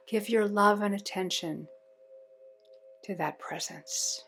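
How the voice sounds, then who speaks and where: A woman leading a guided meditation in measured, short phrases with pauses between them. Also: medium pitch, a bit bright.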